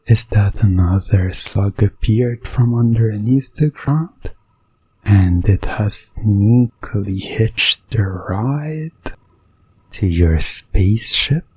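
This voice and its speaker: A man narrating a hypnosis ASMR story in the second person, in a deliberate, suspenseful way. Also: medium pitch, warm tone, breathy edge, low-quality recording.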